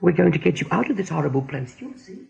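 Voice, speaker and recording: A man playing an anxious, tender young rabbit in a radio play, fretful and pleading, with a breathy, creaky voice. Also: a little high, lively intonation, fast, a little mellow, dull audio, exaggerated voice.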